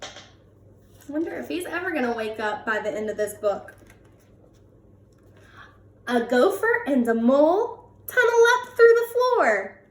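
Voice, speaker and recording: Lady reading a kids picture book, high lively voice, kinda far from the mic. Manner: expressive, drawn-out words, asks listeners questions. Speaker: children's read-aloud host. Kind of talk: animated picture book read-aloud for young children. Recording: roomy echo, distant from the mic.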